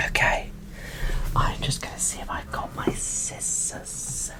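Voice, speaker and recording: A woman speaks in a soft whisper, as in an ASMR unboxing video. She takes her time, with a slow pace and a chatty, gushing manner. Her delivery is informal and unscripted. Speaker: video creator presenting a doll unboxing.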